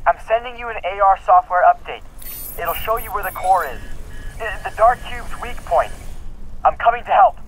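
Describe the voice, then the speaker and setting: An actor playing a bright, helpful teenage boy tech genius in a video game, eagerly explaining things. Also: sits high, animated, normal speed, a little hiss or hum, poor audio. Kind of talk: video game cutscene acting.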